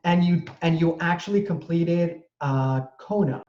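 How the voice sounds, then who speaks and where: A man's voice: on the high side, unhurried, warm tone. A middle-aged man hosting an interview, talking in long, rambling sentences full of restarts. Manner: rambling, many restarts and fillers. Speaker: interview host, real estate broker. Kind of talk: casual conversational interview. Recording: echoey room, dull audio.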